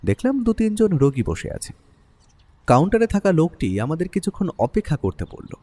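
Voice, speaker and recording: A man playing an easygoing writer, the detective's companion, narrating a Bengali audio mystery in the first person with expressive storytelling. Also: a little high, fairly quick. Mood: reflective, narrating.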